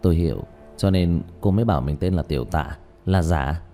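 A man playing a calm, matter-of-fact young male exorcist in an audiobook, speaking in a low-key, measured way. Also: soft background music. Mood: composed. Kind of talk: audiobook character dialogue.

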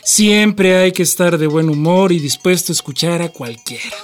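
A man's voice: high-pitched, moderate pace, lightly acted. A man playing a touchy, boastful, sociable husband who drinks, in an aggrieved radio drama monologue. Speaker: actor in a radio play. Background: music.

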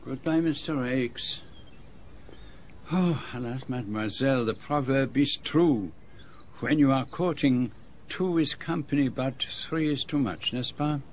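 An actor playing a shrewd, courteous middle-aged gentleman detective with a French-speaking European accent, in formal, precise phrasing for radio drama. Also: medium pitch, unhurried, a little hiss or hum, close to an everyday voice. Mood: polite, grave. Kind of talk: radio drama dialogue and narration.

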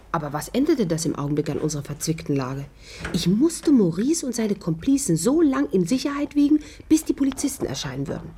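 A woman's voice: on the low side, warm tone, a little hiss or hum. A woman playing a resolute heroine who narrates her crime adventure in tense, scripted 1950s radio style, on an old recording.

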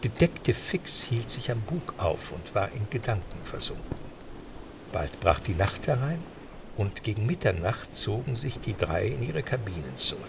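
A man's voice: lowish voice, big pitch swings, normal speed, creaky, distant mic, noisy background. A man narrating a scripted adventure story in a dramatic storytelling style.

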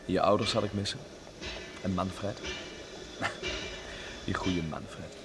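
A man's voice: sits low, flat delivery, slow pace, music in the background. A man acting an earnest young traveller saying farewell in a radio play, with restrained, short replies. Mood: wistful. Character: earnest young Dutchman saying goodbye to friends. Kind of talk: Dutch radio drama acting. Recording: noisy station platform background, vehicle sounds.

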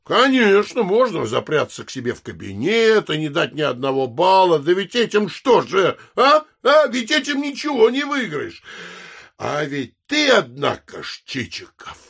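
Brash chattering landowner, male, husky, gravelly, wildly lively intonation, boisterous, wheedling, theatrical audio drama. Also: high voice, normal speed, full character voice. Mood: gossipy, boisterous. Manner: boisterous, familiar, wheedling, rambling.

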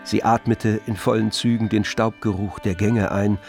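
Narrator in a literary radio play, lowish voice, clear music under it. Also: male voice, steady tone, moderate pace, darkish tone. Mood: measured narrating. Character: literary narrator of a 19th-century French novel.

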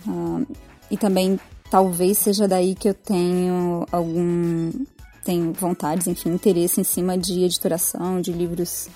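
A woman's voice: on the low side, level tone, a little slow, dark tone, faint music behind. A Brazilian woman giving an informal spoken reflection, hesitant and self-deprecating as she goes. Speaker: podcast commentator.

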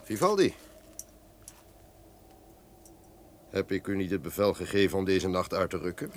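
Lowish-voiced, stately duke. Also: male voice, expressive, quick pace. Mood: commanding. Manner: authoritative, stately, formal. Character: commanding, courtly duke about to marry. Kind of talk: Dutch radio drama acting. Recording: noisy old radio play recording.

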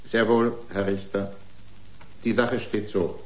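A man's voice: lowish voice, flat delivery, slow, darkish tone. An actor playing a defiant, aggrieved man made of prostheses, arguing his own case indignantly and combatively in a radio drama. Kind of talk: German radio drama acting. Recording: echoing room.

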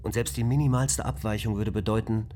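An actor playing a calm male onboard computer of a spaceship, matter-of-fact and logical in a radio drama. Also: lowish voice, brisk, faint music behind, lightly acted. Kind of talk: German radio drama acting.

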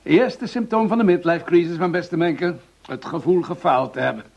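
An actor playing a pragmatic, patronizing senior police commissioner in a radio drama, dismissive and mildly impatient. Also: male voice, fairly high pitch, moderate pace, gravelly, a little mellow. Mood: skeptical, dismissive. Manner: dismissive, patronizing, hesitating with "nou". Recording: slightly dull, lo-fi radio recording.

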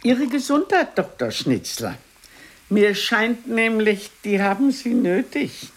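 An aging grande dame of the theatre in a radio play. Her delivery is unhurried and sweeps widely up and down. She is haughty and formal, with a sharp, mocking edge. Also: fairly high pitch, very animated, exaggerated voice. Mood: proud, mocking. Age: older. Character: imperious aging stage actress, sharp-tongued and mocking. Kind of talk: radio drama dialogue.

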